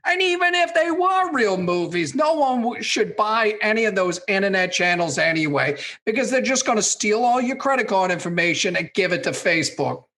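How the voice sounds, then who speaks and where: Someone acting a grumpy old boomer movie critic. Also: lively intonation, moderate pace, few pauses, bright, forceful tone. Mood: indignant. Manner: indignant, complaining. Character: grumpy, stubborn, technophobic older movie reviewer, a boomer. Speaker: movie recap co-host. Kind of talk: scripted comedy radio review sketch.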